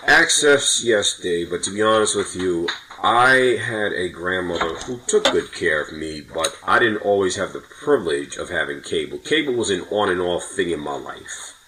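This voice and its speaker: A man's voice: deep voice, expressive, normal speed, bright, forceful tone, a little hiss or hum, poor audio. A middle-aged man from New York chatting casually on a podcast, recalling things in a hedging, rambling way. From New York, United States. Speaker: podcast guest or co-host. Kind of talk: casual chat.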